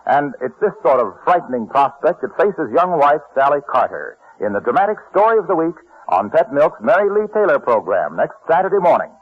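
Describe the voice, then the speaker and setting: A man's voice: fairly high pitch, very animated, a little fast, few pauses, breathy edge. An American man announcing in polished, scripted mid-century radio sponsor style. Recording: dull vintage radio recording.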